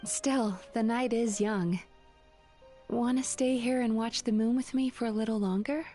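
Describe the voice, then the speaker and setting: A woman playing a gentle, wistful young business owner in an anime game, soft-spoken and reflective, with music behind. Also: a little high, steady tone, a little slow. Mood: gentle, teasing.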